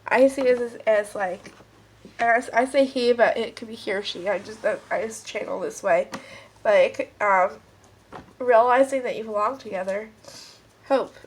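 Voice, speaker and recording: A woman's voice: on the high side, a little slow, a little hiss or hum, low-quality recording. A woman with a stuffed-up nose giving a casual, rambling pick-a-card tarot reading.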